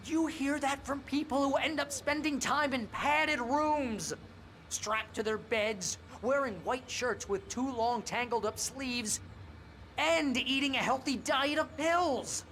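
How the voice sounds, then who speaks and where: An actor playing a nervous, sarcastic American best friend and agent in a video game cutscene. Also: male voice, very high voice, slow, a bit bright, a little hiss or hum, full character voice. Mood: exasperated, pleading. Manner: sarcastic, fussing, exasperated.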